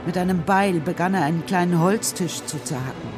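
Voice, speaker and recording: A narrator reading a children's radio drama story in a measured, serious, literary style. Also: mid-range voice, music in the background.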